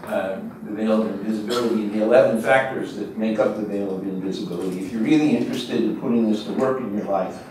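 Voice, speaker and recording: A man's voice: fairly low pitch, fast talker, hardly any pauses, darkish tone. An older man giving a conversational, emphatic keynote lecture at a university, pacing in a large lecture hall. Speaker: guest lecturer. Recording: echoey hall, distant mic, faint noise.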